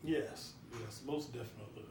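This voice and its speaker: A man playing a hopeful father in his fifties in a role-played family therapy session, speaking haltingly with many repeated words. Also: medium pitch, darkish tone, echoey room, recorded from a distance, slight background noise, lightly acted. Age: 50s. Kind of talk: improvised role play.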